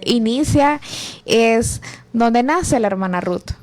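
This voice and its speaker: Una joven adulta con acento salvadoreño presenta un programa cristiano y hace preguntas con cortesía, entre pausas y muletillas. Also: female voice, fairly high pitch, animated, darkish tone. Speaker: host.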